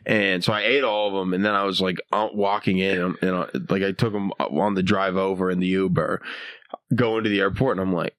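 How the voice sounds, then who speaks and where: A young man from the United States tells a loose, rambling story as a guest comic, his speech full of "like" and "dude". His voice sits fairly low. The talk is casual and unscripted. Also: fairly even intonation, fairly quick, fairly bright tone, no room sound. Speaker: guest comedian. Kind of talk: casual podcast chat.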